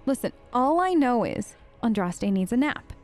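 A woman's voice: a little high, big pitch swings, normal speed, a little mellow, soft background music. A woman hosting a let's play with casual, wry, hesitant role-play commentary, talking in character.

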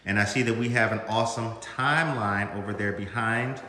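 Bright-voiced man hosting in an echoey room. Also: lowish voice, fairly even intonation, moderate pace. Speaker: host. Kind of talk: casual morning show interviewing. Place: large wood-paneled room indoors.

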